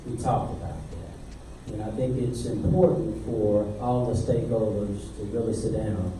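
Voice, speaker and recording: A man's voice: on the low side, steady tone, unhurried, background noise. A man representing a development project, answering residents at a public meeting in a courteous, reassuring way. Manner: courteous and reassuring, "yes, ma'am". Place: large community meeting hall. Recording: echoey hall, mic far off.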